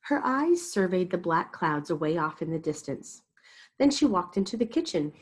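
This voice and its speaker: Lady reading a picture book to kids, lowish husky voice, really leans into the dramatic words. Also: very animated, normal speed. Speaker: storyteller reading a picture book aloud to children. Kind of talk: expressive read-aloud narration.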